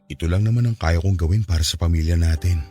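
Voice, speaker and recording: An aging Filipino farmer and devoted husband in a radio drama. His voice is low, with an earnest, affectionate delivery. A light bed of music sits under him. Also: fast, dark tone. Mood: apologetic, grateful. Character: aging, hardworking, devoted farmer husband, strict sweet father. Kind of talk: Tagalog radio drama acting.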